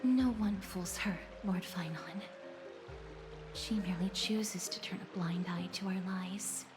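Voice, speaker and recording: An actress as a slow-spoken, gentle solemn maiden. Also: female voice, lowish voice, music in the background, natural voice. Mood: calm, knowing. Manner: soft, measured, formal and courteous. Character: gentle, solemn young woman in a fantasy world, quietly reassuring. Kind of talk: video game voice acting, dramatic dialogue.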